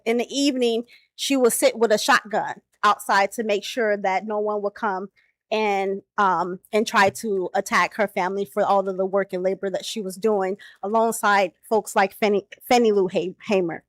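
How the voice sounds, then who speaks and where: A woman's voice: on the high side, dry recording. Woman emceeing a dinner, dry close mic. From South Side of Chicago. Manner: warm, conversational, with fillers and self-corrections. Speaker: event emcee introducing the keynote speaker. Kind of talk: formal introduction speech with personal asides. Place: banquet hall.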